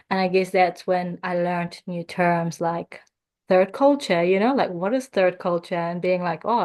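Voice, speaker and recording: A young woman from Jordan, speaking as a podcast guest in a thoughtful, measured way with frequent pauses. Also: fairly low pitch, darkish tone. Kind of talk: reflective podcast conversation.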